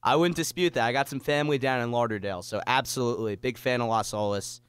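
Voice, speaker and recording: A man's voice: medium pitch, fast, a bit bright, clear, resonant tone, dry recording. A young man hosting a pre-game sports radio interview, asking questions in a conversational way.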